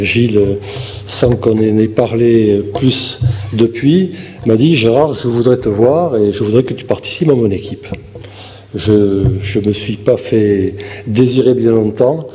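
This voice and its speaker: A man's voice: mid-range voice, warm tone. Man in his fifties in a reverberant hall. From southern France. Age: 50s. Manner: halting, with fillers and restarts. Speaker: municipal election candidate. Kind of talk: campaign speech to a local audience. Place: meeting hall. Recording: long hall reverb with faint room noise.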